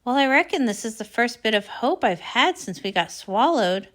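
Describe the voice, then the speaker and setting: A woman's voice: fairly high pitch, very animated, moderate pace, fairly bright tone. A woman voicing a folksy, plain-spoken country villager pony in a storybook, a little wary. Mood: plain, earnest.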